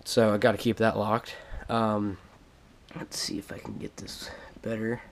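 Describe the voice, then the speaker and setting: A young man with a stuffed-up cold voice, casually showing off his things in a halting home vlog full of fillers. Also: fairly low pitch, little pitch movement. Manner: halting, many fillers and restarts. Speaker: vlogger. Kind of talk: casual show-and-tell vlog. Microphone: action camera on a tripod.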